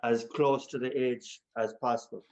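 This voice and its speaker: A man's voice: medium pitch, steady tone, moderate pace, darkish tone. A man hosting a casual podcast, asking questions with hesitant restarts. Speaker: podcast host. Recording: dull lo-fi sound.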